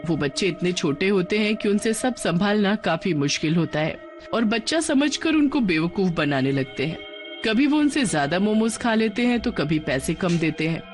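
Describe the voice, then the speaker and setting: A woman narrating a scripted children's story as storyteller. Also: on the low side. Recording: music behind the voice.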